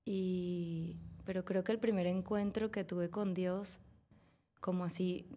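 A woman's voice: fairly low pitch, fairly even intonation, slow pace, warm tone. A woman, a guest, telling her own faith story in a hesitant, casual way with many fillers. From Venezuela. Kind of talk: casual personal testimony.

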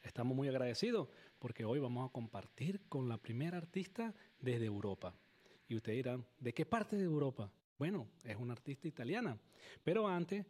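Man hosting, dark mellow voice. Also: mid-range voice. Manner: earnest, leads prayers in a steady recitation. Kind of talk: broadcast interview with opening prayers.

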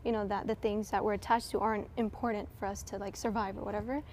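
A woman's voice: medium pitch, level tone, quick pace, a little mellow, a little hiss or hum. A woman speaking as a panelist in a casual panel chat, chatty and full of fillers like "like" and "um".

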